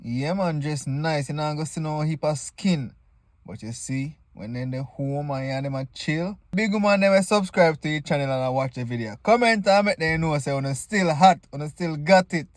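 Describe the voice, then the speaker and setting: Jamaican male comedy reaction host, fairly high voice, informal joking Patois, close dry recording. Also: moderate pace, dry recording. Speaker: video host reacting to stage play clips. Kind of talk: casual comedic reaction commentary.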